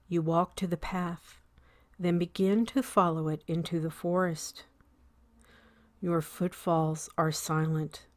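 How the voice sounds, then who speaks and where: Woman, guided meditation, unhurried scripted narration, soft breathy edges, soothing, long pauses, very dry close sound. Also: lowish voice, no room sound. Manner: soothing, measured, with long pauses between short phrases.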